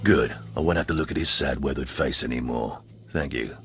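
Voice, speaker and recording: A male actor playing a cynical, contemptuous jailer in a video game, with a gravelly voice and a dry delivery. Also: very deep voice, brisk, music in the background. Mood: sardonic. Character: cynical, sneering male Imperial jailer presiding over prisoners. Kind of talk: video game cutscene acting.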